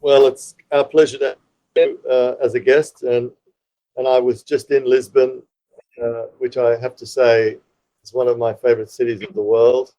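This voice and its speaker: A man's voice: mid-range voice, flat delivery, normal speed, warm tone, poor audio. A man hosting an interview podcast in a polished, courteous presenting style. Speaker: podcast host.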